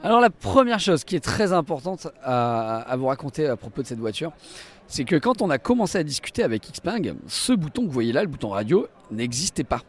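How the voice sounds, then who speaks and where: A man with a fairly high voice walks through a product demonstration at a trade show. He explains each feature with enthusiasm, speaking as a representative of the company behind it. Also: big pitch swings, brisk. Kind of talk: live walk-through product demonstration to camera. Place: trade show stand and inside a car.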